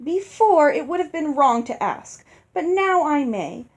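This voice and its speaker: A woman's voice: high voice, big pitch swings, measured pace, pitched above the performer's own voice, full character voice. A woman voicing a vain, greedy older stepmother in a storybook, pouty and overwrought. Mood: coy, eager.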